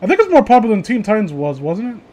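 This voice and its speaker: Higher-pitched man in rambling casual chat. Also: huge pitch swings, very fast, a little mellow. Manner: profane, blunt, opinionated. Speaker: host of a casual group chat.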